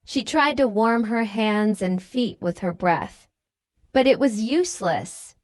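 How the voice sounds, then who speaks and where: A woman reads a children's storybook in a lively, varied tone. Her pace is unhurried and the sound is close and dry. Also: fairly high pitch, dry recording. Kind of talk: scripted storybook narration.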